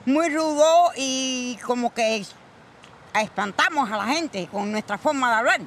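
A man from Cuba with a Cuban accent, answering a street interviewer in an emphatic, halting way. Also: extremely high pitch, animated, fairly bright tone, slight background noise.

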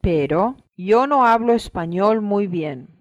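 A speaker gives slow, deliberate model phrases for learners, repeating each one. The sound is dry and a little dull, like an old course recording. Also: medium pitch, animated, dry recording.

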